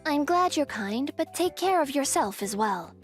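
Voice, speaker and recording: A woman acts out a feared office superior in a manga dub. She is high-pitched but stern and military-brisk, with care tucked under the scolding. Faint music accompanies her. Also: lively intonation. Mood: gruffly caring. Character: tough, feared female office boss, secretly caring. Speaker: voice actress.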